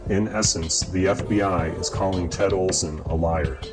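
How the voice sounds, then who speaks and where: A man reading scripted documentary narration in a measured, formal way, as the narrator. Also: low-pitched, fairly even intonation. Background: guitar music.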